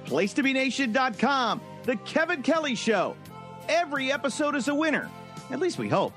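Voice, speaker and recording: Very high, lively promo voice over music. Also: male voice, normal speed. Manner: animated, high-pitched pitch. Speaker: show promo speaker.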